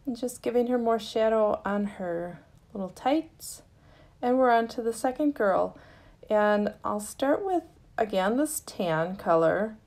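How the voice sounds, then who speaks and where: A woman giving a casual, gently explanatory tutorial narration in an unhurried way, as the host of a craft lesson. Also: a little high, expressive.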